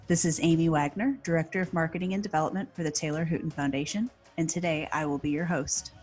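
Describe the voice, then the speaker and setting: A woman hosting a podcast, reading an enthusiastic introduction and asking interview questions. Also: low voice. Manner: warm, enthusiastic, read-aloud delivery. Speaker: podcast host.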